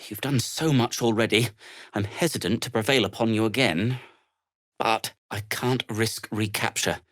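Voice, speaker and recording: An actor playing an earnest, self-deprecating gnome adventurer in a video game, hesitant and wry, with formal, wordy phrasing. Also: male voice, on the low side, normal speed, fairly bright tone, dry recording, studio quality, natural voice. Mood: hesitant, grateful. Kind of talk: video game character dialogue.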